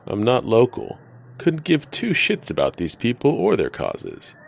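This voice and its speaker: A man voicing a gravelly, sardonic drifter. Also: medium pitch, wildly swooping pitch, measured pace, exaggerated voice. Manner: sardonic, laid-back. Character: self-serving, sardonic male drifter.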